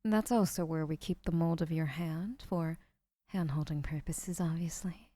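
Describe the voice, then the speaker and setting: Woman voicing a murmuring yandere girl, low voice, mellow tone, audio roleplay. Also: normal speed, no room sound. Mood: soft. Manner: soft, hushed, breathy murmuring. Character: gentle obsessive young female yandere painter.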